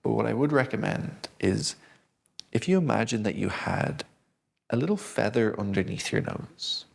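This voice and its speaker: A man gently guiding a breathing exercise, speaking slowly and soothingly. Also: lowish voice, lively intonation, gravelly. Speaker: breathing instructor. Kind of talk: guided breathing lesson.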